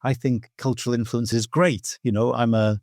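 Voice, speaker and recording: Middle-aged Welsh man, Welsh native speaker, podcast guest, earnest and reflective, dry close mic, mid pitch. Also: fairly even intonation, moderate pace, darkish tone, no echo. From Wales. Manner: thoughtful, earnest, many "you know" asides. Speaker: guest teacher of Welsh myth. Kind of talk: reflective podcast interview answers.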